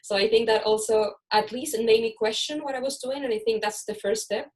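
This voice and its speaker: A woman's voice: fairly high pitch, a little fast, darkish tone. Chilean-born woman hosting a video panel. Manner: chatty, restarts sentences. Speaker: host. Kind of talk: casual online panel chat.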